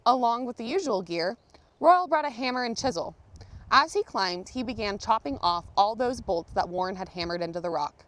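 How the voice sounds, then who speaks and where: A woman reading a children's picture book aloud, telling the story expressively with dramatic emphasis and pauses. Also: a little high, lively intonation, fairly bright tone, no echo. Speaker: storybook reader, museum manager.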